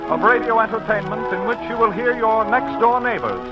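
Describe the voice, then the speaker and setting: A man's voice: high-pitched, brisk, lo-fi sound, music in the background. A man announcing and narrating in scripted 1940s radio style, addressing listeners in a folksy, direct way. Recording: old 1940s radio recording.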